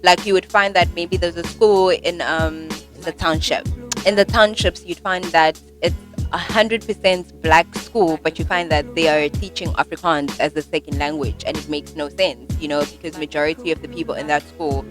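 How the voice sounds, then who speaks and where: A woman's voice: sits low, steady tone, moderate pace. A young South African woman whose first language is isiZulu, chatting casually and often saying "like". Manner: chatty, lots of "like" fillers, laughs often. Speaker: co-host teaching Zulu. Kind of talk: casual chat. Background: hip hop music.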